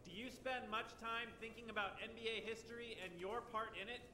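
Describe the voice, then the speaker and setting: A man's voice: extremely high pitch, fairly even intonation, fairly quick, background noise. An actor playing a probing, direct male sports reporter, asking scripted press conference questions in a video game. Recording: roomy echo, clear background ambience.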